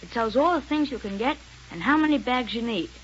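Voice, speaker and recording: Female radio ad announcer, very high voice, dull old recording. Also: lively intonation, fairly quick. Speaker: advertising announcer. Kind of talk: 1930s radio commercial, scripted sales pitch. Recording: muffled, noisy 1930s radio recording.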